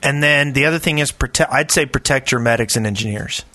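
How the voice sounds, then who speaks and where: A man's voice: medium pitch, fairly quick, pushed and bright, no room sound. A man co-hosting a podcast in casual conversation. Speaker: podcast co-host. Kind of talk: casual chat.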